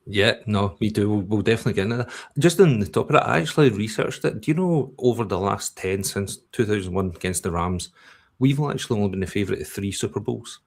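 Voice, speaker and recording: A man's voice: on the low side, expressive, fast talker, vocal fry. A Scottish man in his forties hosting a casual sports chat, asking long, rambling questions. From Scotland. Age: 40s. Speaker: podcast host.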